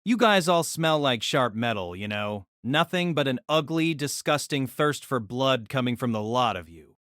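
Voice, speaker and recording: Lady putting on a irritable feral boy for a storybook, lowered voice that jumps around, dry close sound. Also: lively intonation, moderate pace, fairly bright tone, no room sound. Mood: surly. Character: gruff irritable teenage boy with feral wolfish temper.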